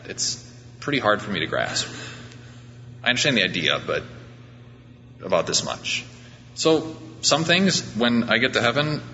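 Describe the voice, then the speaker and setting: Deep-voiced man preaching in a reverberant hall. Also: bright, forceful tone. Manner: conversational, self-deprecating. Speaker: pastor preaching at a church. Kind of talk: informal sermon. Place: large reverberant church room during a service. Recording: reverberant hall, muffled, background hum.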